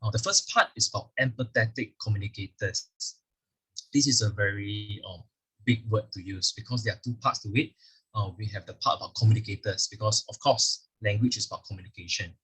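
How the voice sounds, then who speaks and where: Husky Singaporean man explaining curriculum. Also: fairly low pitch, a little fast, fairly bright tone, rough recording. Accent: Singaporean English. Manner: explanatory, leans on "actually" and fillers. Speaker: school curriculum presenter. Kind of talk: virtual curriculum presentation with slides. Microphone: video call microphone.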